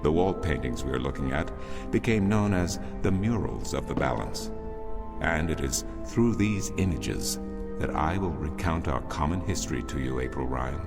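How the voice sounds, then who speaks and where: An actor playing a solemn older priest in a fantasy game, telling ancient lore in a measured, formal, portentous way. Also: male voice, rumbling low, lively intonation. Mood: reverent. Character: solemn older male temple priest, a storytelling loremaster. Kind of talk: grand scripted fantasy narration. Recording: music behind the voice.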